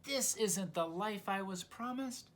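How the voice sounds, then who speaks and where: Guy doing a sorry little lady balloon from a kids book, plaintive and sighing, slow. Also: sits high, animated, a bit bright, a little hiss or hum, pitched above the performer's own voice. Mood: dejected. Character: sad, disappointed young female helium balloon, gentle and sorry.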